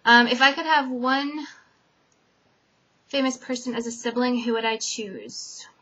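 A young woman from Connecticut answering a tag video's questions in a casual chat, with lots of "um" fillers, her voice trailing off softly at sentence ends. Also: on the high side, a little slow. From Connecticut, USA. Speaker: vlogger. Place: dim bedroom, indoors. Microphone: webcam. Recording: dull lo-fi webcam audio.

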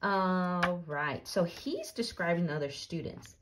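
A woman with a fairly low voice reads a picture book aloud. She explains things casually and simply, as if for children. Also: expressive, takes it slowly, background noise. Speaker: read-aloud storyteller. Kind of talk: storybook reading with casual explanations.

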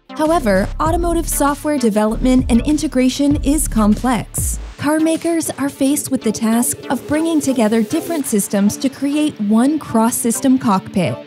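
A woman reading scripted corporate narration in a polished, promotional manner. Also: sits high. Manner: polished, even promotional delivery. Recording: voice-over over loud music.

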